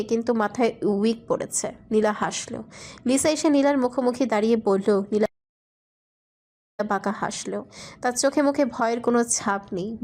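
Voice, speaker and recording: Higher-pitched woman narrating a romantic story. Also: a little mellow.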